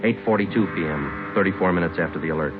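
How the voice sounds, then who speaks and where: Low, deadpan police sergeant played by an actor, tinny recording. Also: male voice, fast, natural voice. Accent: American. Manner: deadpan, matter-of-fact, terse. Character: stoic, businesslike male police detective sergeant who narrates. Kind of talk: 1950s radio drama, clipped narration. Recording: tinny old radio broadcast, music behind.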